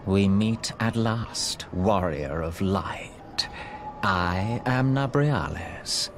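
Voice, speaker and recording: An actor playing an arrogant, sinister sorcerer villain in a video game, with pompous, theatrical, archaic diction. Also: male voice, lowish voice, a little hiss or hum. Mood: smug, condescending. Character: arrogant, sinister male dark sorcerer villain, gloating and condescending.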